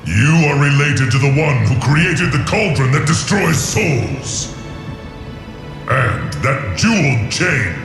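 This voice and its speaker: A man's voice: mid-range voice, expressive, slow pace, vocal fry. A man voicing a proud, wrathful, grieving dragon in a video game, speaking in a grave, menacing and formal way. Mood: bitter grief, anger. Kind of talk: dramatic video game voice acting. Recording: echoing voice under loud music.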